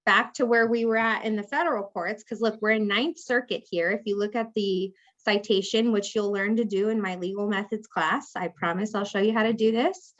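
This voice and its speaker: A woman's voice: medium pitch, steady tone, moderate pace, hardly any pauses, muffled recording. A woman law professor giving a conversational classroom lecture, acting out example speakers as she explains. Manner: conversational, acts out hypothetical speakers.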